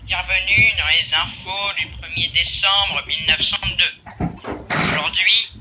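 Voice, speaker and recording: Casting: a man doing a formal newsreader, loud and measured, pitched high. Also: fairly even intonation, a little hiss or hum, full character voice, poor audio.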